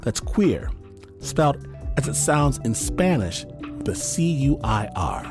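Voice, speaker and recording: Animated male podcast host over music. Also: mid-range voice, slow. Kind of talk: scripted credits and introduction.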